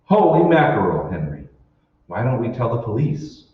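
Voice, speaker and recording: A man's voice: medium pitch, big pitch swings, moderate pace, close to an everyday voice. A man playing a bewildered, skeptical everyman husband in an old-time radio drama, puzzled and questioning. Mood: skeptical, startled. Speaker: amateur stage actor. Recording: roomy, distant, dull hall recording with hiss.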